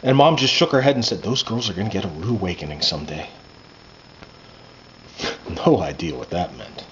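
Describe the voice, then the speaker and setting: A man, an author reading his own first-person coming-of-age fiction aloud in a conversational, expressive way. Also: low-pitched, moderate pace. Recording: muffled lo-fi with background noise.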